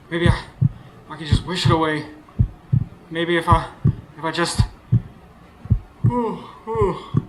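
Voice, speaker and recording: A man's voice: a little high, poor audio. A man acting as a weary, anxious working husband in a radio drama. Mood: frightened, straining. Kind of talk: radio drama acting with first-person narration. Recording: faint background noise, live stage.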